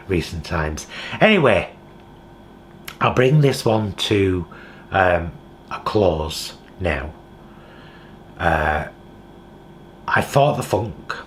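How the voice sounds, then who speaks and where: A man's voice: low-pitched, slow, pauses often, slight background noise. A British man talking in a heartfelt, reflective vlog monologue from his home kitchen. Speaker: vlogger.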